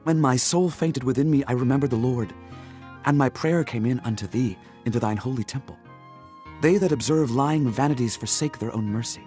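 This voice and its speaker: A man playing a Hebrew prophet, solemn and fervent, in a measured dramatised scripture reading. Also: mid-range voice, a little mellow, soft background music, close to an everyday voice. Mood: anguished prayer.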